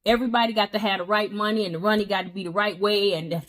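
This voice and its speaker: A woman co-hosting a casual talk show, earnestly giving relationship advice in a relaxed style. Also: medium pitch, racing, low-quality recording. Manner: earnest, advising, emphatic. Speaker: co-host. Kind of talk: casual chat about relationships.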